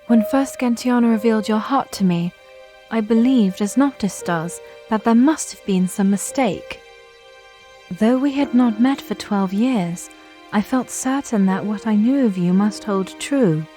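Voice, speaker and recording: A woman playing a solemn young noblewoman in an audio drama, speaking in a formal, measured, gravely reproachful way. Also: mid-range voice, mellow, soft background music, natural voice.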